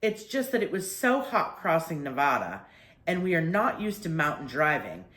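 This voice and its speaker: An anxious storybook mother, as acted by a woman reader, critical and quick to protest. Her pitch moves in lively swings, and the room rings a little around her. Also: roomy sound. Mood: apologetic. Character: anxious, complaining mother on a road trip.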